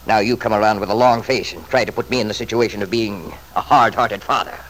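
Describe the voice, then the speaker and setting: A man's voice: fairly low pitch, steady tone, quick pace, a bit bright. An actor playing a stubborn, selfish middle-aged father in an old-time radio soap, blustering and self-justifying in an emphatic way. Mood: indignant, defensive. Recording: noisy vintage radio drama recording.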